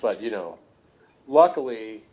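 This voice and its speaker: A man's voice: fairly low pitch, lively intonation, a little slow, mellow. A man co-hosting a review show in casual, joking chat with a teasing manner. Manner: teasing, jokey.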